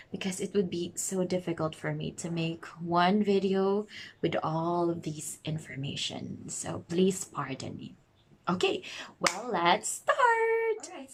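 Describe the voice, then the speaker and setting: A chatty woman from the Philippines in her thirties talks through tips for new online English teachers. She speaks at a medium pitch, laughs easily and often backs up to rephrase. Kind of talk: casual explainer vlog.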